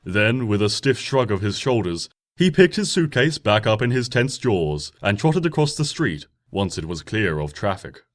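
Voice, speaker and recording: Expressive male storyteller, lively pitch. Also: moderate pace, few pauses. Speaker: fan fiction reader, narrator. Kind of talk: scripted story narration, audiobook reading. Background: background music.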